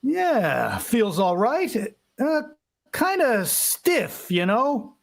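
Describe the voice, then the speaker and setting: Slow, grudging bargain hunter, played by a man. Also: very high voice, huge pitch swings, creaky, full character voice. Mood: hard to please. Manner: hesitant, grudging, casual. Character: unimpressed, penny-pinching shop customer. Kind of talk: vintage radio drama acting.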